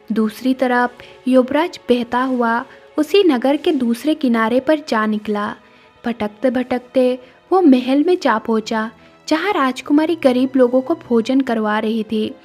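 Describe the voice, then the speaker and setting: High-pitched woman narrating a cartoon story. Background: faint music.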